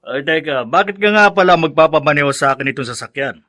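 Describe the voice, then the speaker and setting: A man's voice: on the high side, expressive, fast talker, sharp tone. A man with a Filipino accent playing a practical, helpful family friend in a radio drama. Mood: matter-of-fact.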